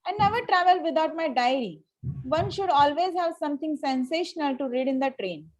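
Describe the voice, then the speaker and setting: A haughty young society lady from a Victorian comedy, played by a woman at a table read. Her voice sits very high. She speaks formally and with cool politeness, tripping now and then on a line. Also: expressive, brisk. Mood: coolly indignant. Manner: formal, stumbles over lines. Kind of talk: amateur reading of a Victorian comedy play.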